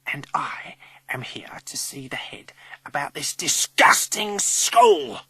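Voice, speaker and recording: A man's voice: mid-range voice, lively intonation, vocal fry, sharp tone, husky, no echo, voice pushed up, exaggerated voice. Man playing a hissing, fierce old grandmother. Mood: hissing fury. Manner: hissing, whispered, slow menace. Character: scary, dignified old grandmother, fierce and commanding. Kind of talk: children's audiobook character voice.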